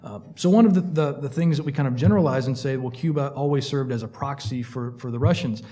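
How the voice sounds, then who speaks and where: A man's voice: mid-range voice, warm tone. A man on a discussion panel explaining history in a lecturing way, with frequent fillers. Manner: fast, lecturing, filler-heavy. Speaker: panelist, Cuba expert.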